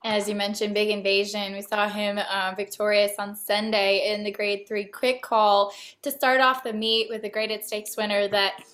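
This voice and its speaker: Hoarse woman hosting a chatty podcast. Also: medium pitch, flat delivery, normal speed, few pauses, a bit bright, dry recording. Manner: chatty, upbeat, with fillers. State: hoarse, raspy voice. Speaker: podcast host. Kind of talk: casual podcast interview.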